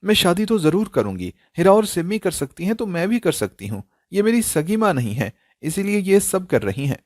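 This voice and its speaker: A man's voice: sits high, very animated, moderate pace, no room sound, clean studio sound, voice pushed up. A man voicing an anguished young woman in a dramatic story, pleading and insistently demanding answers. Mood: defiant. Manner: emotional, pleading, insistent questioning.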